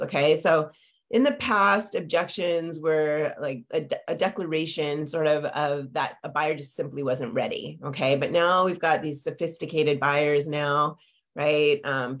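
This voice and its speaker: Low-voiced congested woman on a webcam. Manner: chatty, many fillers and tag questions. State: congested, lingering cough. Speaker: business coach. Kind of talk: live-streamed coaching talk. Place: home office. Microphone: video call webcam.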